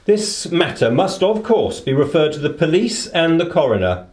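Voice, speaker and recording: A man playing an incisive, authoritative gentleman detective in a radio play, precise, formal and dry. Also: a little high, big pitch swings, normal speed, roomy sound. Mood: stern, probing.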